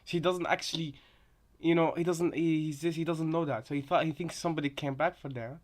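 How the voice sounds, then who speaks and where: Flat-toned man chatting hesitantly. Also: on the high side, brisk. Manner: hesitant, repetitive, simple phrasing. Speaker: co-commentator. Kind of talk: casual chat.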